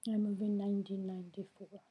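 A woman's voice: medium pitch, flat delivery, a little fast, dark tone, slightly rough. A woman, thoughtfully describing her own experience and searching for her words. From Italy. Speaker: interviewee, immigrant parent. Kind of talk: reflective personal account.

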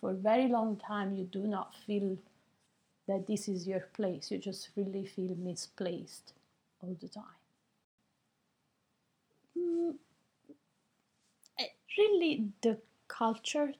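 A woman in her fifties speaks slowly and earnestly, often stopping to search for the right word. Her voice has a soft, husky edge. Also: medium pitch. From Italy. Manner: thoughtful, searching for words, earnest. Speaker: interviewee, immigrant parent. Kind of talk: reflective personal account.

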